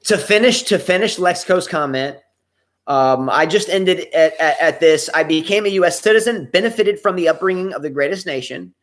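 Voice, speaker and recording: A man co-hosting a live stream talk show, chatting casually. His voice sits a little high for a man. He reads viewer comments aloud and dwells on single words. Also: normal speed.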